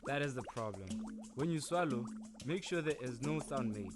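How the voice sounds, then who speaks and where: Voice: mid-range voice, expressive, normal speed, natural voice. An actor voicing a witch doctor who patiently gives instructions. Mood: instructive. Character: witch doctor giving advice. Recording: music playing underneath. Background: music.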